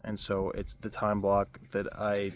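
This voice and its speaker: A young man with a low baritone voice talks through a casual self-help vlog. His delivery stays fairly flat and rambles, full of restarts and fillers. Crickets and insects chirp around him outdoors. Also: measured pace, slight background noise. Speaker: vlogger.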